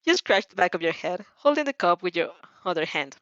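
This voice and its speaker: A woman's voice: fairly low pitch, sing-song, a little fast, fairly bright tone, no echo. A woman narrating a second-person fan fiction story aloud, informally, stumbling and correcting herself, on a slightly dull home recording.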